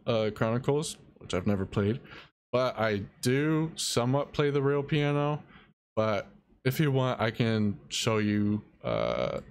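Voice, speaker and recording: Soft-spoken slow young man streamer. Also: medium pitch. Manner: soft-spoken, halting, reads chat aloud. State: dry mouth. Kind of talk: casual live-stream tutorial.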